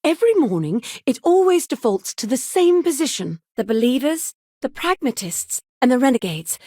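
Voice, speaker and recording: A woman's voice: high voice, sing-song, normal speed, warm tone, no room sound, clean studio sound, heavily acted. An actress playing a curious, courteous woman scientist in a science fiction video game, speaking earnestly in formal, old-fashioned phrasing. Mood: formal, instructive. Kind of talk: scripted video game dialogue.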